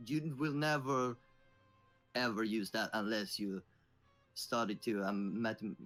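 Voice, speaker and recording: Young man, low voice. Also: fairly even intonation, fairly bright tone, faint music behind. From Sweden. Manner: halting, searching for English words. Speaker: podcast co-host. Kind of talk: casual chat.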